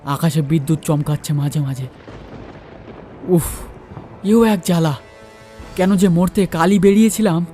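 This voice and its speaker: A man's voice: on the high side, unhurried, pauses often, dark tone, faint music behind. A man telling a horror story as a storyteller, in dramatic first-person narration.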